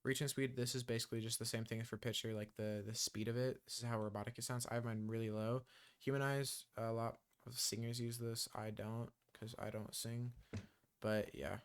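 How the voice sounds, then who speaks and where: Male tutor, clear and resonant voice, mid pitch, easygoing screen-tutorial delivery full of filler words. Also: flat delivery, brisk. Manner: loose and chatty, many ums and uhs. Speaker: music production tutor. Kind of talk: casual screen-recorded software tutorial.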